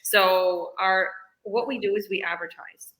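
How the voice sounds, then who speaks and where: A woman's voice: medium pitch, steady tone, unhurried, fairly bright tone, low-quality recording. A woman business coach from British Columbia answering interview questions in a casual, chatty way, explaining eagerly. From British Columbia, Canada. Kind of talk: live online interview answers.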